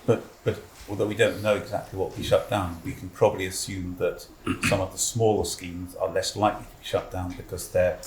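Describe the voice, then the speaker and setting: A man moderating a conference panel, calm and smooth as he adds comments and invites questions. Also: deep voice, a little hiss or hum. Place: conference hall. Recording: echoey conference hall.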